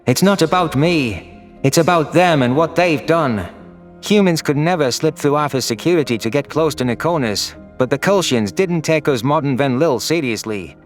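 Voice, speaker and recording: A man's voice: on the high side, lively intonation, normal speed, soft background music, natural voice. A man voicing an anguished, vengeful young furry alien who narrates his own audio story in an earnest, solemn, dramatic way.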